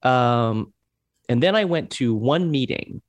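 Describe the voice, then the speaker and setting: A man in his thirties from Hong Kong, a guest in a casual talk, speaking haltingly with many restarts and fillers. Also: mid-range voice, expressive, dull audio. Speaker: guest, chair of an overseas Democrats group. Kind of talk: casual conversation.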